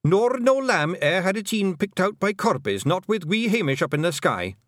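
A man voicing a tiny, nervous, excitable male pixie with a Scottish accent, gabbling in a storybook reading. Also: high-pitched, moderate pace, no room sound, clean studio sound, voice pushed up. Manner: gabbling, shrieking, evasive.

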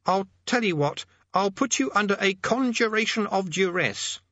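A man voicing a squeaky, eager young boy demonologist who blusters pompously and hesitates, in a storybook reading. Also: lively intonation, moderate pace, pushed and bright, no room sound, highs cut off, exaggerated voice. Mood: eager, chatty. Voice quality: squeaky, high-pitched.

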